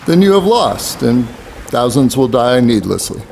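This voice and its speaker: A man's voice: medium pitch, very animated, a little slow, creaky, darkish tone, a little hiss or hum. An actor playing a cryptic, impatient boatman in an audio drama, blunt and goading as he gives riddling counsel. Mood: grave, urgent.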